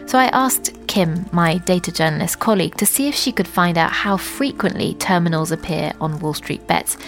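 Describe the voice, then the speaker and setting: Faint music plays behind a woman with a lowish voice. She narrates as a journalist host, in scripted but conversational podcast style. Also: normal speed, gravelly.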